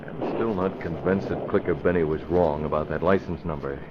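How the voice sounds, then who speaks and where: A man acting a suspicious, authoritative vigilante hero in an old radio drama, giving deliberate orders. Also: very deep voice. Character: decisive, suspicious male crime-fighting hero. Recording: muffled, noisy old radio recording.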